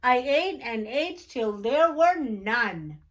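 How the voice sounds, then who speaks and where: Woman playing a greedy picture-book toad, apologetic, high voice, slow, remorseful. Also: animated. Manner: apologetic confessing. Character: sorry, greedy male toad in a storybook.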